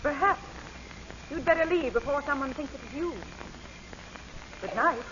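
A guarded, conflicted lady crook in an old radio play. Her voice is high, with poised, dramatic phrasing. The recording is dull and a little noisy. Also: a little slow, fairly bright tone. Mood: light, evasive. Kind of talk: 1940s radio acting. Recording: noisy muffled vintage 1940s radio recording.

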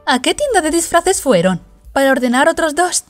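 A woman plays a breezy, bubbly young cartoon woman. Her voice is high and bounces around in pitch over faint music. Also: big pitch swings, moderate pace. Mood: cheerful. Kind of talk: fandub comic acting.

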